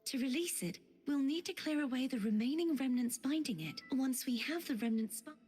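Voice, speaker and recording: A woman playing a polite, earnest young maiden guide in a fantasy video game, speaking softly with courteous formality. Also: high-pitched, brisk. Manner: soft, breathy, courteous and formal. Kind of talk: scripted video game voice acting. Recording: music behind.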